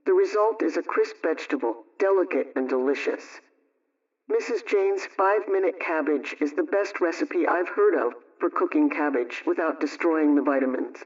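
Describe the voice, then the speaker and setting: A man reading an old household radio script aloud in the first person, in an even, unhurried way. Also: a little high, distant mic. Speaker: reader. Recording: dull lo-fi sound, away from the mic.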